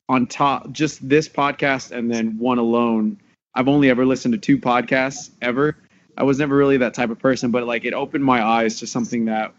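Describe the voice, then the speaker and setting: A man's voice: medium pitch, dull audio. A man chatting casually in a panel discussion as an actor, rambling a little and repeating his phrases.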